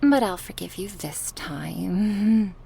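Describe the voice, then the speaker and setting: A woman playing a sadistic, gleefully cruel goddess in an ASMR horror roleplay, taunting theatrically and slipping into breathy whispers. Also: mid-range voice, slow, warm tone, full character voice. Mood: playful menace.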